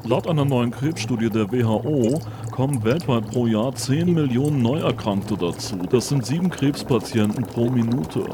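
A man playing a confident, smooth-talking lab chief who makes grand, persuasive speeches in a creaky voice, in film-dub style. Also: medium pitch, lively intonation, normal speed, hardly any pauses, noisy background, lightly acted. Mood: presenting. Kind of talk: German dub-style dramatized reading.

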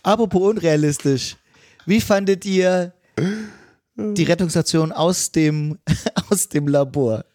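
A man's voice: on the high side, takes it slowly, a little mellow, no room sound, studio quality. A man talking casually on a podcast, discussing a film with others. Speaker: podcast participant.

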